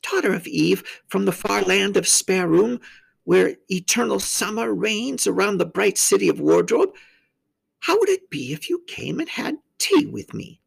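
Storybook faun: very high, swooping pitch, courteous, kindly, stammering and effusive. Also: male voice, sing-song, a little slow, creaky, husky, heavily acted, poor audio. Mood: inviting, eager. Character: polite, flustered, kindly faun, high fluttery voice.

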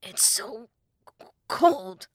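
A woman's voice: mid-range voice, expressive, very slow, no echo, studio quality. Woman playing a shivering, stammering young male adventurer. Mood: shivering misery.

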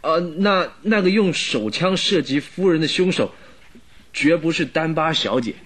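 A timid gentleman in his middle years for a radio drama. His voice is rather high and husky. He speaks haltingly and apologetically, like a nervous servant. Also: expressive, heavily acted. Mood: fearful, hesitant. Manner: hesitant, stammering, apologetic.

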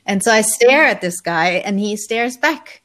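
A woman in her forties, raised in Germany, telling her story in an interview as a spiritual author, relaxed and thoughtful with frequent pauses. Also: medium pitch. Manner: relaxed, thoughtful, many ums, light laughs.

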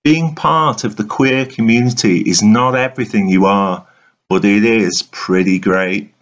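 Guy with a lowish voice doing a motivational speech reading, really slow and earnest, kinda dull lo-fi sound. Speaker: reader of a written monologue. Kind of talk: dramatic motivational spoken-word reading. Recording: dull, lo-fi recording.

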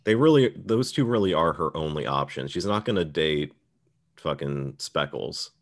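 A man's voice: extremely low pitch, animated, normal speed. A man hosting a podcast, talking through films in a casual, conversational way. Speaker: podcast host. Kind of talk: casual film discussion.

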